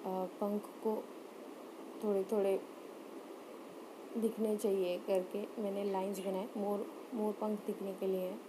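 A woman gives an unscripted step-by-step craft demonstration, hesitant and pausing often. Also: medium pitch, little pitch movement, background noise. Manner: halting, hesitant, with frequent pauses. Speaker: craft tutorial host.

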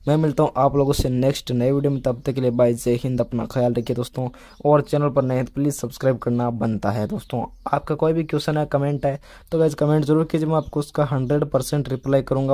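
Fast-talking, mellow man. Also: medium pitch, flat delivery, no room sound. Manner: repetitive, frequently addresses the viewers as friends. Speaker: tech video host. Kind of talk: casual smartphone update explainer.

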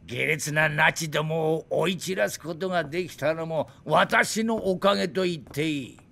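A man's voice: fairly high pitch, normal speed, creaky, a bit bright. An actor playing a drunk, bitter old Soviet weapons designer in a video game, boastful and theatrical in his indignant ranting. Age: older. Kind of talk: dramatic video game cutscene acting.

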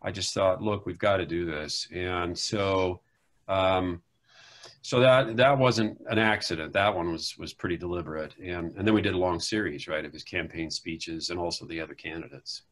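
A man's voice: sits low, normal speed, fairly bright tone. A man in his forties, a political scientist, explaining his research in a casual, thoughtful way on a video call. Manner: thoughtful, explanatory, with "you know" asides. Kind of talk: casual academic conversation. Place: home room.

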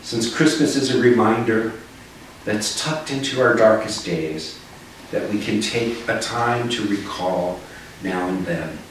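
Older male minister, low voice, reflective and deliberate, recorded at a distance in a very reverberant room. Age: 60s. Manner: measured, solemn, deliberate phrasing. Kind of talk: reflective sermon, read from notes. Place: living room with small seated group. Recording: echoey living room, distant mic, faint noise.

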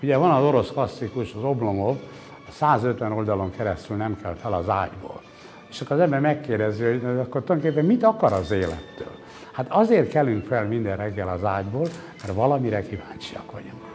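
A man's voice: on the low side, expressive, darkish tone. Reflective older man over background music. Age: 60s. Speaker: exhibition curator interviewed. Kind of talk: reflective interview explanation. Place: indoor exhibition gallery. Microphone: lapel mic.

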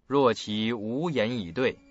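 A man narrating a radio drama in an expressive, suspenseful, scripted style. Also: mid-range voice, slow. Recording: dull lo-fi audio, faint music.

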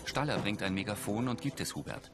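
Male audio-description narrator, low voice with lively intonation, scripted, loud music underneath. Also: fairly quick. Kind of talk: scripted present-tense scene narration.

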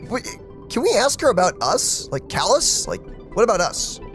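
A mid-pitched streamer gives casual playthrough commentary in an excitable, joking way. Faint music plays behind the voice. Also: normal speed. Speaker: let's play streamer reading game text aloud.

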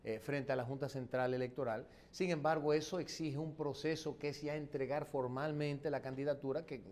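A man with a Dominican accent answers political interview questions as a candidate, in formal, legalistic, measured wording. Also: mid-range voice, fairly even intonation, darkish tone, slight background noise. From born in the United States to an exiled Dominican family. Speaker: presidential candidate being interviewed.

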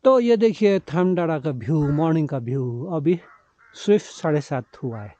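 A man's voice: on the high side, lively intonation, moderate pace, mellow, no room sound. A man in his forties giving casual trek-vlog narration outdoors into a handheld camera, mixing in English words. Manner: mixes English words and phrases into speech. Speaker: travel vlogger. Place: outdoors on mountain roads. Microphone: camera held at arm's length. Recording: slightly dull, muffled camera audio.

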